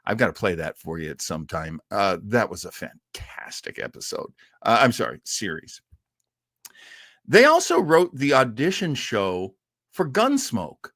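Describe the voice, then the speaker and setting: A man hosting an old-time radio show livestream, chatting casually and enthusiastically in a rambling, halting way full of tangents. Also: lowish voice, sing-song, fairly bright tone, dry recording, clean studio sound.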